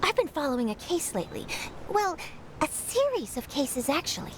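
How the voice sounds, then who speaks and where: A woman's voice: high voice, very animated, moderate pace, background noise. A woman voicing an eager, ambitious young newspaper reporter in a video game, bubbly, emphatic and dramatic. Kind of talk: English video game voice acting. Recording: loud game music behind the voice.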